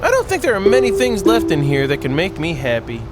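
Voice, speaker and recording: A man's voice: high-pitched, wildly swooping pitch, a little fast. A man voicing a lonely, nostalgic dweller of a rundown house in a visual novel, read in a hesitant, reflective way. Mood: wistful, hesitant.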